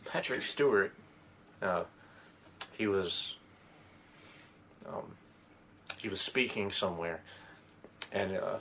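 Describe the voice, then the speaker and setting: A man's voice: low-pitched, takes it slowly, pauses often, a bit bright, slight background noise. A man co-hosting a podcast, speaking hesitantly and leaning on lots of "uh" and "um". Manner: hesitant, many "uh" and "um" fillers. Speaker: podcast co-host. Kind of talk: casual podcast chat.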